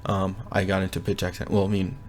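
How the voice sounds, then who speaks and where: A young man giving a casual, rambling progress update from his bedroom, often pausing to think aloud. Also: low voice, completely flat delivery, slight background noise. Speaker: language learner vlogger. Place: bedroom at home.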